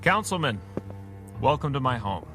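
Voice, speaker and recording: A man playing a calm, dry-witted gentleman hero, speaking politely and with measured understatement in a radio drama. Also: mid-range voice, music in the background, natural voice. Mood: courteous.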